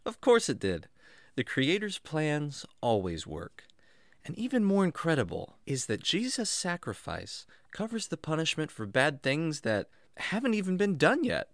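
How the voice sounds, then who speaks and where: Give me a man playing a lively, kindly jungle storyteller. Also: on the high side, unhurried, no room sound, clean studio sound, heavily acted. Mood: earnest. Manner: expressive, reassuring, explanatory. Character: kindly, enthusiastic jungle man Jack, patient storytelling teacher. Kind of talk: children's radio drama acting.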